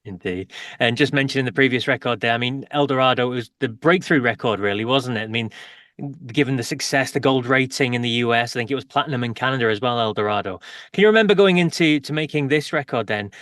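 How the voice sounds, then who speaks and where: A man's voice: mid-range voice, fast, fairly bright tone, no room sound, clean studio sound. A man hosting an interview, asking leading questions that often end in tags. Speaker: interview host.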